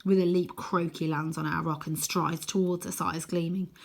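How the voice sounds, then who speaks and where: A woman reading a children's storybook aloud in an expressive, dramatic way, sometimes hushing her voice for suspense. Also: fairly low pitch, creaky. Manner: dramatic, deliberate, dropping to hushed tones for suspense.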